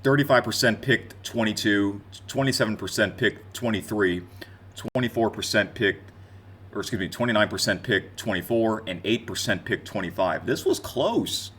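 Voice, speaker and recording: A man's voice: fairly low pitch, normal speed, a bit bright, husky. A man in his twenties talking casually and off the cuff to his viewers, in a rambling, conversational year-in-review vlog style. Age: 20s. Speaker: gaming content creator and streamer.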